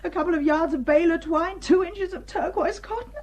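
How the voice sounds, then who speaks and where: Very high-pitched, highly strung woman. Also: a little fast, a little mellow. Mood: distressed, indignant. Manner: fretful, emotional, protesting. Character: anxious, indignant woman suspect, highly strung and dramatic. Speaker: actor in a radio drama. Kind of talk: radio drama dialogue.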